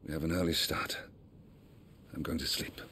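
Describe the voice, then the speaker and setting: An actor playing a weary, firm middle-aged archduke in a video game drama, measured and wry. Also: male voice, extremely low pitch, steady tone, sharp tone, husky, heavily acted. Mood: weary, bitter.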